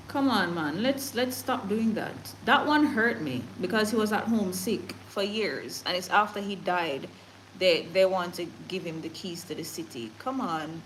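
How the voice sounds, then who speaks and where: A woman's voice: mid-range voice, measured pace, a little hiss or hum, rough recording. Emphatic Jamaican woman livestreaming. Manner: animated, emphatic, laughs often, mixes patois. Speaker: livestream news host. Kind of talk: casual livestream chat reading comments and news.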